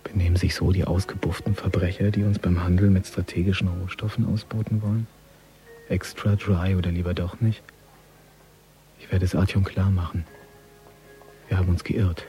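An actor playing a bored, sardonic surveillance agent, muttering dry, mocking remarks to himself in a radio drama. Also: male voice, sits low, level tone, moderate pace, long pauses, dark tone, soft background music, natural voice. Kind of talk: radio drama inner monologue.

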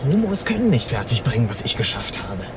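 An actor playing a whiny, boastful young policeman and son, hushed and defiant in a radio drama scene. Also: medium pitch, very animated, a little fast, background noise, lightly acted. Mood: defiant, petulant. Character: touchy, boastful 24-year-old male cop, whiny son. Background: running car.

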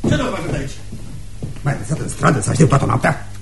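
An actor in a radio play playing a rude, taunting, drunken husband with a coarse, belligerent manner. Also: male voice, mid-range voice, wildly swooping pitch, creaky. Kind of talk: radio theatre acting. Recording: roomy, hissy radio play recording.

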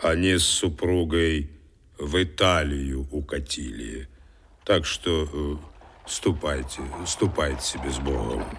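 A nosy, immovable doorman in a period radio drama. His voice sits remarkably low and he drags his words out slowly, with a folksy, oath-peppered way of talking. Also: gravelly, a bit bright, heavily acted. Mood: stubborn refusal. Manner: slow folksy talk peppered with oaths. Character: stubborn, gossipy servant doorman in old Petersburg. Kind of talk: Russian radio drama acting.

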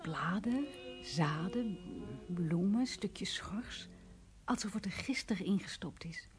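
An actress playing a tender, enraptured young woman in a radio drama, with a breathy, wondering way of speaking. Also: mid-range voice, animated, slow. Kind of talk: naturalistic radio drama dialogue. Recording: radio play with music behind.

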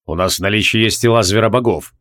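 A man voicing a proud, irritable mage in a fantasy audiobook, muttering tersely and pausing to think. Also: lowish voice, fairly bright tone, close to an everyday voice. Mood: pensive. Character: proud, irritable male mage, gruff and brooding.

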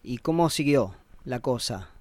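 A man's voice: medium pitch, expressive, a little slow, a little hiss or hum. A man with an Argentine accent hosting a radio interview, asking short prompting questions. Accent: Argentine Spanish. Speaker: radio host.

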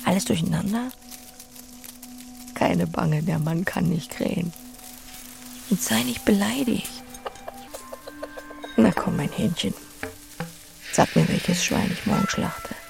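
An actress playing an earthy, unflappable pig farmer in an audio drama, with a plain, dry manner. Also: female voice, on the low side, slow, vocal fry, pauses often, a little mellow, faint music behind, heavily acted. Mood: playful, conspiratorial. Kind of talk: German audio drama acting.

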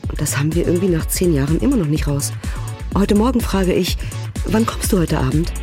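A woman's voice: lowish voice, brisk, soft background music, lightly acted. A woman playing an outspoken, exasperated partner in a cross-cultural couple, retelling their exchanges in a chatty, conversational way for a radio drama. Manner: chatty, animated retelling, quoting both sides.

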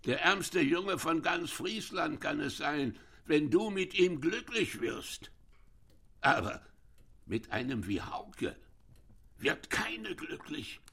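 An actor playing a bitter, ailing old dike reeve in a German radio drama, gravelly and husky, halting and brooding as he speaks. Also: fairly high pitch, lively intonation, pushed and bright, full character voice. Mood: bitter, weary. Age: older. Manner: slow, brooding, halting with repeated words.

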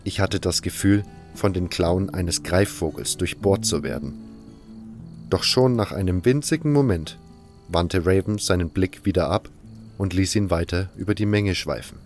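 A man's voice: deep voice, moderate pace, a little mellow, faint music behind. A man narrating a fantasy audio drama in the first person, reading from a script.

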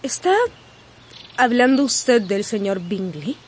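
An actress playing a spirited, guarded young gentlewoman in a radio drama, polite but pointed and direct. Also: medium pitch, slow pace, a little hiss or hum, lightly acted. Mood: probing, troubled.

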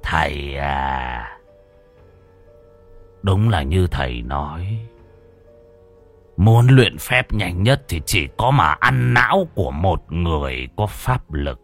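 A man voicing a cruel old sorcerer, hushed and menacing. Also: expressive, takes it slowly, long pauses, faint music behind, full character voice. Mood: gloating. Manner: low menacing threats, half-whispered, with cackling laughs.

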